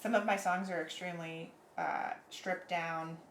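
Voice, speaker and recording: A young woman singer-songwriter, soft-spoken, talking reflectively in a casual interview. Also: lowish voice, fairly even intonation, a little slow. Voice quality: soft, airy voice. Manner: reflective, thoughtful, with frequent fillers. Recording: echoey room, faint noise.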